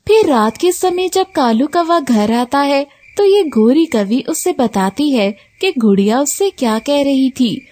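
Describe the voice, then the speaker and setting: A woman's voice: high voice, lively intonation, unhurried, hardly any pauses, mellow. A woman narrating a scripted children's cartoon story.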